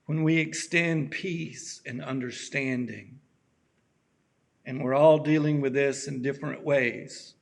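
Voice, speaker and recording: A raspy-voiced man preaches at a very unhurried pace, with long pauses between his phrases. His delivery is gentle and halting, and his throat sounds worn by allergies. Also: mid-range voice, fairly even intonation. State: allergies acting up. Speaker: pastor. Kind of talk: slow reflective sermon.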